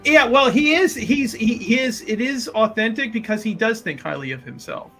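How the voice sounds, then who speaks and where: A man with a somewhat high voice talks casually as a player in a tabletop roleplaying game. He explains what his character is like, starting phrases over and rewording them as he goes. There is faint music behind him. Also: lively intonation, normal speed, a bit bright.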